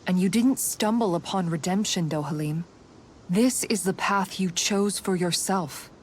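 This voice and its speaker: Lowish-voiced steadfast warrior woman played by a woman. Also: measured pace, darkish tone, a little hiss or hum, natural voice. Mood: earnest comfort. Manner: firm, sincere. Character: steadfast, compassionate warrior woman, mature and gentle. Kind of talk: dramatic video game acting.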